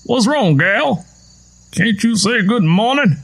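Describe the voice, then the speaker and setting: A man voicing a gruff old tenant farmer with a strained, choked voice and blunt, folksy talk. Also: high-pitched, lively intonation, takes it slowly, fairly bright tone, pitched above the performer's own voice, heavily acted. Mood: gruff, matter-of-fact. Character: gruff old backwoods Southern father-in-law, hard-drinking tenant farmer.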